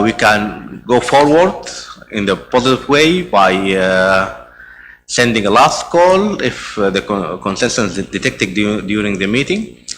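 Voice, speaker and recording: Hesitant Arabic-speaking man, bright tone. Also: mid-range voice, poor audio. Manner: hesitant, many "uh" fillers and restarts. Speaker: meeting chair presenting the agenda. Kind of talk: conference presentation. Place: conference hall.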